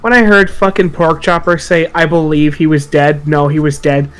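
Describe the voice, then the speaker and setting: A man's voice: fairly high pitch, little pitch movement, normal speed, clear, resonant tone. A man co-hosting a podcast in casual, joking chat, colloquial and sweary. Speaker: podcast co-host. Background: background music.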